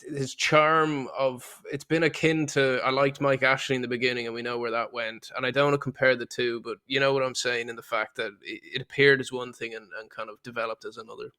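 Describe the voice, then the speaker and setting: A man's voice: medium pitch, flat delivery, fairly quick, few pauses, bright, forceful tone. Hesitant man chatting casually about football. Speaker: football podcast co-host.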